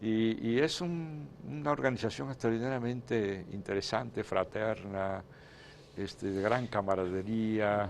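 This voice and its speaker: A man from the Dominican Republic, a guest in a political interview, speaking in measured phrases with frequent hesitations. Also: fairly low pitch, creaky, slight background noise. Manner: measured, hesitant with many "eh" fillers. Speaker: interview guest, former legislator.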